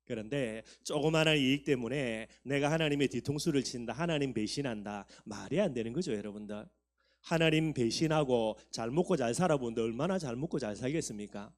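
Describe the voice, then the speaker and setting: A man's voice: medium pitch, a little fast. A man in his fifties, a pastor preaching a sermon, talking earnestly to the congregation and building up for emphasis. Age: 50s.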